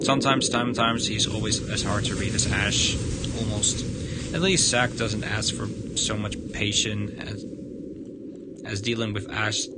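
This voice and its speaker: A man livestreaming a visual novel, reading its narration aloud haltingly and stumbling over the text. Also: fairly low pitch. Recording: very loud background noise.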